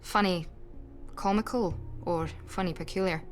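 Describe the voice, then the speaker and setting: A woman playing a sharp-tongued Scottish headmistress of an occult academy in a video game, with a dry, sarcastic and colorful way of talking. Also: lowish voice, unhurried, faint music behind, natural voice. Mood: dry sarcasm. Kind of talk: video game cutscene dialogue.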